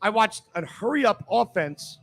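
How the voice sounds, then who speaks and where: A man's voice: sits high, animated, unhurried, vocal fry, a bit bright. A man hosting a live sports talk show, speaking in an assertive, opinionated way and often jumping in.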